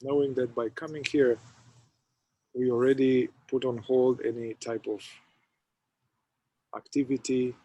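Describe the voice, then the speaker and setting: A man, a somatic therapist, leading a guided meditation, speaking slowly and softly in short phrases with long pauses. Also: mid-range voice, level tone, lo-fi sound, a little hiss or hum. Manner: slow, soft-spoken, with long pauses between phrases.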